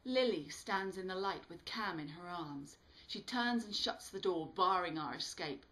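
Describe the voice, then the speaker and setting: A woman with a slightly low-pitched voice performs as an author reading her own work. Her storytelling is expressive and dramatic, shaped for listeners following a narrative. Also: unhurried, low-quality recording. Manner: animated, dramatic delivery. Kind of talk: expressive storytelling read-aloud.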